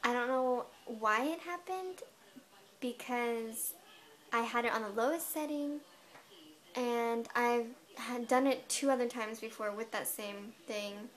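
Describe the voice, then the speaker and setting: A young woman vlogging to her webcam from her bedroom, with a high, girlish voice, chatty and a bit defensive, saying "like" a lot. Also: slow. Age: young adult. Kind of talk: casual to-camera explanation. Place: bedroom, indoors. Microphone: webcam at desk.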